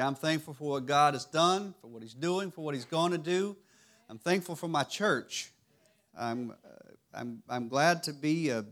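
A man with a raspy voice giving a church teaching sermon, halting and restarting often. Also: a little high, fairly bright tone. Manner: halting, many restarts and fillers. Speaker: lay preacher. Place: church sanctuary.

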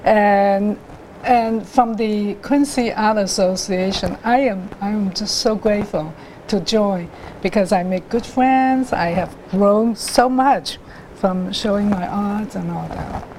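A middle-aged woman, telling her life story as a guest artist in an interview, haltingly, with many restarts and pauses. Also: mid-range voice, a little mellow, slight background noise, rough recording. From Hong Kong.

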